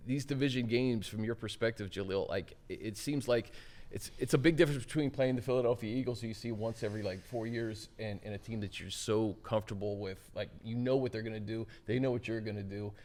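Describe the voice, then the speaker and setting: Lower-pitched male sports show host. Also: quick pace, a little mellow. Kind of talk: conversational hosting, asking questions.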